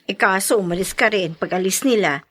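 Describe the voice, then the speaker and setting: A woman's voice: mid-range voice, fairly quick, fairly bright tone, no room sound, natural voice. An actress playing a candid, cautioning female friend in a Filipino radio drama. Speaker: drama actor.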